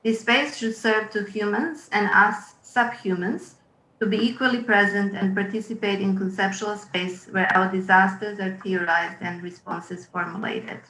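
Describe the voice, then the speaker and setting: A woman's voice: mid-range voice, steady tone, normal speed, bright, forceful tone. Woman curator, forties, hesitant with many ums, echoey room on a video call. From Yugoslavia. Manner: hesitant, with frequent ums and uhs. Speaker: curator giving a presentation. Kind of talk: webinar presentation, partly read. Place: room at home.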